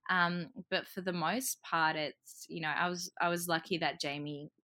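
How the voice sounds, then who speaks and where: A woman's voice: fairly low pitch, steady tone, moderate pace, pushed and bright. A woman from Croatia, a podcast guest, talking chattily in an interview, laughing often and acting out what people said. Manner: chatty, laughs often, acts out quoted remarks. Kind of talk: casual interview chat.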